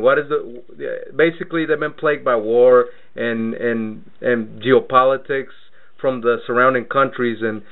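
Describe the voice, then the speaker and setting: A man born in Mexico hosting a radio interview, talking in a loose, rambling way and repeating words as he searches for his point. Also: mid-range voice. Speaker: radio show host. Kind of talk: casual radio interview talk. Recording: tinny phone-line radio audio.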